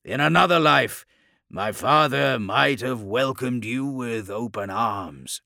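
A man's voice: medium pitch, lively intonation, slow pace, gravelly, pushed and bright, no echo, clean studio sound, pitched above the performer's own voice, heavily acted. A man voicing a hulking, gloating plague warlord in an audiobook, with a guttural, bubbling voice and a grand, theatrical delivery. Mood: mocking admiration. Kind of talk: audiobook villain acting.

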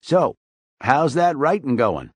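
A man's voice: fairly high pitch, sing-song, takes it slowly, no echo, voice pushed up, full character voice. A man voicing a drunk, boastful old male barfly with folksy, bawling talk. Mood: boozy.

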